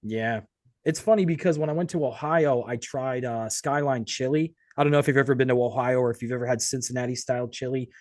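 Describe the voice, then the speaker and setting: A man's voice: mid-range voice, fast, darkish tone, no echo, clean studio sound. A man hosting an interview in a casual, conversational style. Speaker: interview host.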